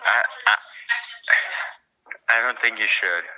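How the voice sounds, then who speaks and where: A man's voice: fairly low pitch, slow, bright, forceful tone. A man on a phone call, incredulous and pushing back, stammering through restarts. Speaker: relative being pranked. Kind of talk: casual phone chat. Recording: muffled phone line.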